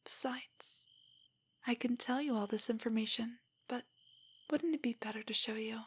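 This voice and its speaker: A woman acts out a sweet, nerdy young girlfriend in an intimate audio roleplay. Her voice is fairly high and breathy, often slipping toward a whisper. She rambles eagerly and a little shyly, with affection for the one listener. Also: moderate pace. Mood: tender. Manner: rambling, giggly, hesitant, explaining trivia.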